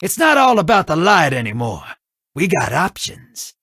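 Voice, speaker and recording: A man voicing a cocky, scheming rogue in a video game, with an animated, familiar and persuasive manner. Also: a little high, moderate pace, husky, full character voice. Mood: urging, sly. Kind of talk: video game character dialogue.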